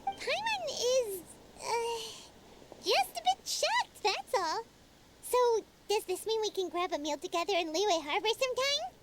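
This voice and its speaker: A woman voicing a tiny, childlike, perky female fairy companion with a squeaky voice in a fantasy game. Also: very animated, measured pace, background noise, exaggerated voice. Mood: surprised. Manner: refers to self in third person, perky. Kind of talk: animated fantasy game acting.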